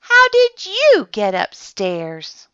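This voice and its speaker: A woman voicing a startled but kindly male night watchman in a children's storybook, loud and questioning. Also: medium pitch, wildly swooping pitch, very slow, dry recording, muffled recording, heavily acted. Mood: surprised.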